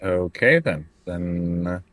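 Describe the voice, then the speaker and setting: A man's voice: low-pitched, lively intonation, slow pace, fairly bright tone. A man playing a tabletop roleplaying game, speaking casually and often in character, hesitating and repeating his words.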